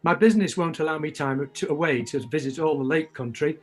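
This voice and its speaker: An actor playing a genial, courteous middle-aged uncle in a radio play reading. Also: male voice, on the high side, a little fast, soft background music, lightly acted.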